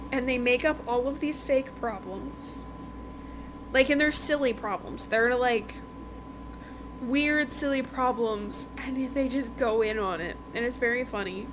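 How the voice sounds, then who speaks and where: A woman with a somewhat high voice hosts a casual chat show. She tells things in a loose, rambling, conversational way. Noise is clearly audible behind her. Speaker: podcast host reviewing romance books. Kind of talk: casual book recap chat. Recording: noticeable background noise.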